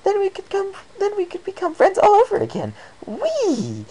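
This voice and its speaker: A woman's voice: extremely high pitch, wildly swooping pitch, normal speed, mellow, pitched above the performer's own voice, full character voice, low-quality recording. A woman voicing a bubbly, scatterbrained young female pony who rambles breathlessly in run-on sentences. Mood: giddy excitement.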